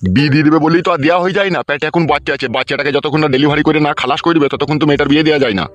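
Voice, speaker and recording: Man voicing a fast-talking, bossy village schemer. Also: fairly high pitch, fairly even intonation, dry recording, dull audio, close to an everyday voice. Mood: scolding. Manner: scolding, crude slang, hectoring. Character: scheming, bossy village uncle, greedy and foul-mouthed. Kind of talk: Bengali comedy cartoon dubbing.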